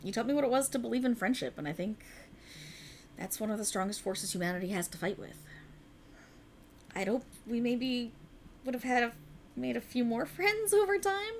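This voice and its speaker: A woman voicing a young alien woman in an ASMR roleplay, an earnest, teasing friend hiding as a human, who swears bluntly and talks softly and close. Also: fairly high pitch, moderate pace, long pauses, a little hiss or hum. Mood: wistful. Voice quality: soft, intimate and lively, with blunt teasing and swearing.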